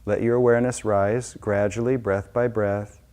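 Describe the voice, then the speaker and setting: A man leading a guided meditation as a teacher, giving slow, gentle, unscripted instructions with soft-spoken pauses. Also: fairly low pitch, steady tone.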